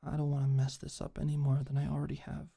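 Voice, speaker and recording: A young woman playing a tough but awkward, secretly tender delinquent girl in an intimate ASMR roleplay, speaking softly and hesitantly. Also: deep voice, monotone, fast talker, gravelly, husky, exaggerated voice. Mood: nervous, earnest.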